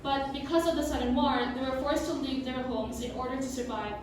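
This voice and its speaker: Teenage female student presenter, high-pitched, scripted but informal; distant mic, strong room echo. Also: steady tone, fairly quick. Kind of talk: school presentation, prepared script with casual asides. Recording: very echoey room, distant mic, clear noise.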